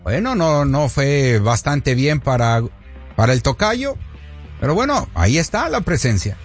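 A man's voice: medium pitch, unhurried, soft background music. A man co-hosting a radio sports show, joking and bantering while he discusses football. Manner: joking, teasing, animated.

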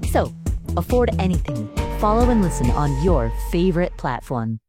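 A woman's voice: low-pitched, big pitch swings, normal speed, music in the background. A woman podcast host reading a scripted promo for her show.

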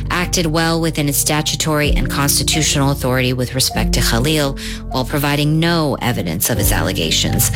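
A woman hosts a radio news segment in a very low-pitched voice. She reads a script, with clearly audible music behind her. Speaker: national radio news host.

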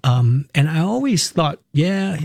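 A man's voice: on the high side, very animated, slow pace, vocal fry, a little mellow, no echo. A man in his fifties with a Singaporean accent, a Cantonese native speaker, telling his life story as an interview guest in a reflective, candid way with short restarting phrases. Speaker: interview guest, musical theatre performer.

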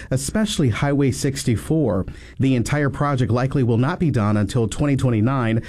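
A man reading local news headlines in a steady, scripted radio delivery. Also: mid-range voice. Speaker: newscaster.

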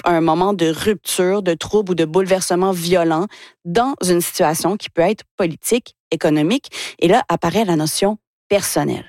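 A woman's voice: medium pitch, a little mellow, dry recording, studio quality. A woman, giving a radio column on a word. She is thoughtful and hesitates, with many fillers. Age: 40s. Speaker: radio columnist, author and poet. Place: radio studio.

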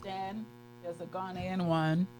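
A man's voice: sits high, little pitch movement, slow, a little mellow, rough recording. A man co-hosting a live radio show, chatting playfully and telling stories. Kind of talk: casual live radio banter.

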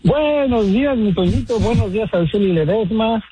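A man with a Mexican accent calling into a sports radio show, rambling and halting as he chats about a match. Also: sits high, expressive, crowd noise, low-quality recording. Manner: rambling, halting, laughs often. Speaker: caller. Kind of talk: chatty phone-in about football.

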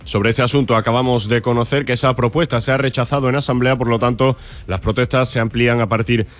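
A man's voice: medium pitch, steady tone, fast. A man presenting a scripted radio news bulletin. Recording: music bed behind.

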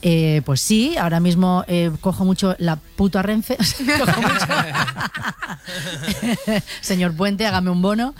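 A woman actress, chatting on the radio in a quick, joking, self-mocking way. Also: mid-range voice, animated. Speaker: actress guest. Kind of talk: casual radio chat.

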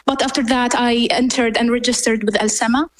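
A woman's voice: a little high, fairly even intonation, brisk. An eighteen-year-old woman from Syria, a native Arabic speaker, giving a personal account as a remote interview guest. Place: remote line from Beirut.

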